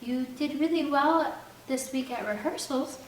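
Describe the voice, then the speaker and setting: A woman voicing a sweet, earnest, devout teenage girl who talks in a bright, polite way. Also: high-pitched, measured pace, voice pushed up. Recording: echoey room, distant mic, faint air-conditioner hum.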